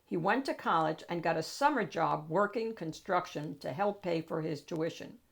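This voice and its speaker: A woman narrating a children's picture book in an expressive, emphatic storytelling manner with deliberate phrasing. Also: lowish voice, gravelly. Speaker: storybook reader, read-aloud host.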